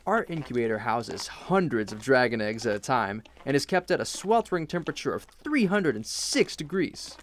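Actor as officious hatchery guide, animated intonation, courteous, explanatory, audio drama, noticeable noise. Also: mid-range voice, normal speed, few pauses, close to an everyday voice. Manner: customer-service politeness, explanatory.

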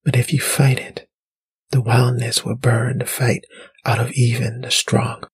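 Man voicing a breathy, ancient female forest spirit. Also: mid-range voice, flat delivery. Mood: ominous, hushed. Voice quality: breathy, half-whispered. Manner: slow, hushed, deliberate. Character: ancient female spirit voice, soft, eerie, coaxing. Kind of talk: audiobook storytelling.

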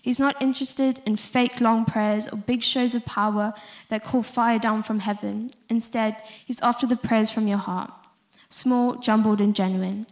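A young woman with a South African accent and a fairly high voice delivers a short church talk. She follows a prepared sermon and slips into informal, conversational asides. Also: steady tone, measured pace.

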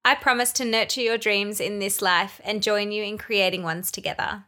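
A woman's voice: mid-range voice, steady tone, moderate pace, bright, forceful tone. A woman co-hosting a podcast in casual chat, talking in a loose, filler-heavy way and reading listener letters aloud. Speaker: podcast co-host.

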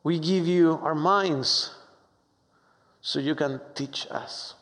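A man from Argentina with a strong Argentine Spanish accent, preaching a sermon with warm, humorous, halting storytelling full of fillers. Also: on the high side. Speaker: pastor. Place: church sanctuary. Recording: long hall reverb.

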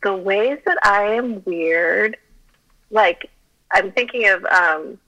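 A woman's voice: mid-range voice, takes it slowly, sharp tone. A middle-aged woman from Colorado whose first language was Chinese, chatting as a podcast guest with a giggly, self-deprecating manner. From Colorado, USA. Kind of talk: casual interview chat. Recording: phone line.

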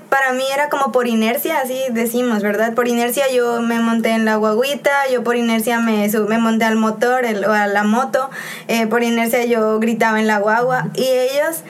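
Chatty young Mexican woman telling stories. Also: fairly high pitch. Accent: Mexican, from Xalapa. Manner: chatty storytelling with many fillers and restarts. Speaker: missionary guest. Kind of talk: casual conversation on a podcast.

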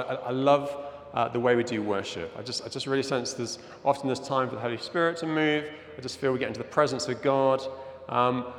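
A middle-aged man from Zimbabwe giving an informal, earnest sermon as a church leader, often checking that listeners agree. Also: mid-range voice. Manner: earnest, conversational, often seeking agreement with "yeah?". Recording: echoey hall with long reverb.

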